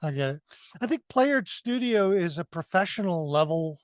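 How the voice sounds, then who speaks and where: A man with a fairly high voice speaks as a co-host in a casual review discussion. He explains things in a halting way, restarting and correcting himself. The sound is very dry and close, with no room echo. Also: animated. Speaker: podcast co-host reviewing films.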